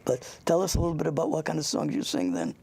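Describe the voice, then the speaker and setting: An older interviewer with a gravelly, husky voice, chatting informally and haltingly with a young guest, often rambling into anecdotes. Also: medium pitch, sing-song, dark tone. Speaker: interviewer, show host.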